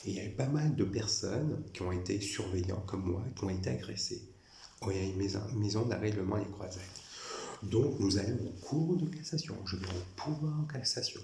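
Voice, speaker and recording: Gravelly low man with insistent repetition. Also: animated, a little fast, dark tone, reverberant, slight background noise. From France. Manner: repetitive, insistent, restarts phrases. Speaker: former prison guard recounting grievances. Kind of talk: rambling monologue to camera.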